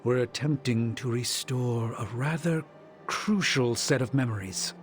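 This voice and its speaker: An actor playing a pompous, exacting and erudite sorcerer in a video game, theatrical and precise. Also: male voice, mid-range voice, measured pace, faint music behind, natural voice. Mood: exacting, testy. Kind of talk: video game cutscene acting.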